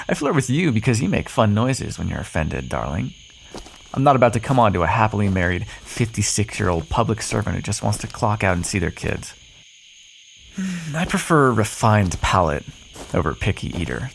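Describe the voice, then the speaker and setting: A man's voice: fairly low pitch, gravelly, slight background noise, low-quality recording. A man playing a cocky, flirtatious, sardonic vampire in an ASMR roleplay, teasing the listener with pet names, sarcasm and swearing. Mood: flirtatious, dry.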